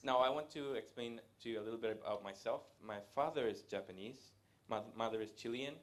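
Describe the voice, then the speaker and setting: A man, giving a live presentation to an audience in a conversational way, pausing often to hesitate. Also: medium pitch. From Latin America. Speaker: guest speaker. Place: event room with a live audience.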